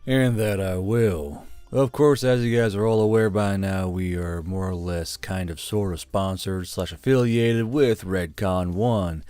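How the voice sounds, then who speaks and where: A man's voice: sits low, measured pace, few pauses, dark tone, clean tone, no room sound, soft background music. A man delivering an informal sponsor message as a host.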